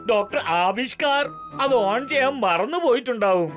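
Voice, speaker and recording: High-pitched grumbling cartoon sidekick. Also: male voice, animated, normal speed, fairly bright tone. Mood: exasperated. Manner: exclamatory, complaining. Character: comical grumbling cartoon man, exasperated sidekick. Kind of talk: children's cartoon dubbing in Malayalam. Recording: clear music behind the voice.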